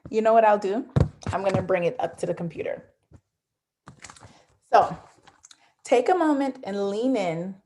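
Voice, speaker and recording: A woman presents online in a mid-pitched voice. She is emphatic and engaging, addressing her listeners directly as a guest speaker on a video call. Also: darkish tone. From born in Jamaica, grew up in Georgia, USA. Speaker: pediatric dentist, guest speaker. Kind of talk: prepared, interactive online presentation. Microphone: computer on a video call.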